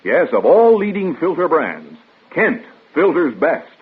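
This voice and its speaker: A man's voice: fairly high pitch, very animated, a little slow, breathy edge, phone-quality sound. A man reading a scripted 1950s radio commercial as an announcer, with an emphatic salesman's delivery. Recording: thin, band-limited old radio recording.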